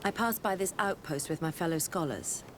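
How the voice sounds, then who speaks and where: An actress voices a learned female companion in a fantasy game, her voice slightly low. She is composed and frank, reasoning with people in a measured, persuasive way. A faint rumble runs underneath. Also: animated, lightly acted. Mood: calm, persuasive. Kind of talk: video game cutscene acting.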